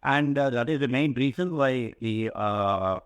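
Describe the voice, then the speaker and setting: An Indian-accented man, a company executive, answering on an earnings call in halting, hesitant phrases. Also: medium pitch, smooth, no echo.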